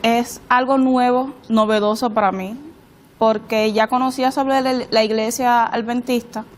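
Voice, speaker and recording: A woman's voice: fairly high pitch, fairly even intonation, unhurried, a little hiss or hum. A woman with a Cuban accent introducing herself as a guest participant on a panel. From Cuba.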